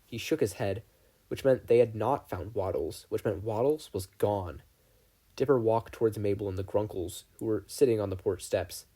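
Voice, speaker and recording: Male narrator, low pitch, husky, mellow, scripted storytelling. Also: moderate pace. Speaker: fan fiction audiobook reader.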